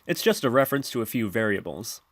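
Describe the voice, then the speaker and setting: A man narrating a software tutorial in an instructional, step-by-step manner. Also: medium pitch, expressive, quick pace, no room sound, clean studio sound. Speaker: tutorial presenter.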